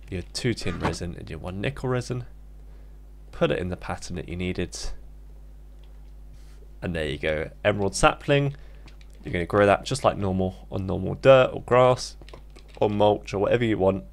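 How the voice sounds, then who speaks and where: A man's voice: sits low, moderate pace, creaky, long pauses. A man narrating a casual gaming tutorial as its host, instructing informally step by step. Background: background music.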